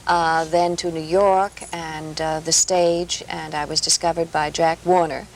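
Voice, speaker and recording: A woman's voice: low voice, little pitch movement, unhurried, poor audio. A woman from Minnesota, a guest on a 1970s television interview show, telling stories about her past in a gracious, anecdotal way. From Minnesota, USA. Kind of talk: relaxed talk show interview. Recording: 1970s television broadcast, light hiss.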